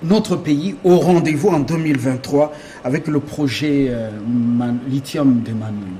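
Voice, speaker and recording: A man's voice: medium pitch, unhurried, mellow, slight background noise. A man hosting an interview, probing his guest with pointed questions and interjections. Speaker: interviewer host.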